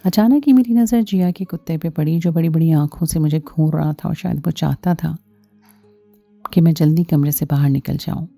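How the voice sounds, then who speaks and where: Very mellow, low-pitched woman narrating a story. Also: normal speed, vocal fry, no echo, soft background music. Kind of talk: scripted first-person story narration.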